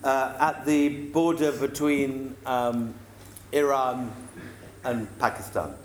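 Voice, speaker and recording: A man's voice: mid-range voice, fairly even intonation, slow. A British man reading a humorous travel account aloud to an audience in a wry, amused, theatrical manner. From United Kingdom. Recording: echoey room with noticeable background noise.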